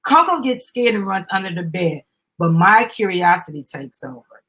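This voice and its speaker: A woman's voice: fairly low pitch, animated, moderate pace, fairly bright tone, breathy edge. A woman doing a live dramatic reading of a children's book as host, with chatty, upbeat asides and encouraging prompts to a small child.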